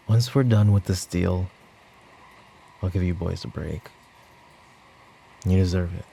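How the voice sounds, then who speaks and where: A man playing a tired, guilt-ridden mafia boss and worried father in a roleplay ASMR audio drama, brooding, with sighs and pauses. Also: low voice, creaky, mellow, a little hiss or hum. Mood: resigned, softening.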